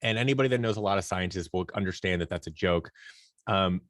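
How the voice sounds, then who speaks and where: A man's voice: low voice, fast talker, no room sound, clean studio sound. A man giving casual, conversational answers as an interview guest, telling stories and acting out little quoted exchanges. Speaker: interview guest, audio drama producer leading a creative team.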